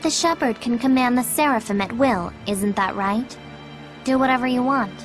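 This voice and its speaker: A woman's voice: on the high side, normal speed, faint music behind, natural voice. An actress playing a blunt, cynical, teasing girl spirit in a video game, with a dry, sardonic manner. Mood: teasing challenge. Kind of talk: English video game dub acting.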